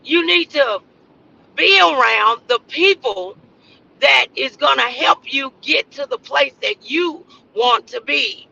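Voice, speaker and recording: Very high-pitched, bright-voiced man preaching motivation. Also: expressive, takes it slowly, creaky, muffled recording. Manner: exhorting, repeats phrases for emphasis, rhetorical questions. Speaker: motivational show host.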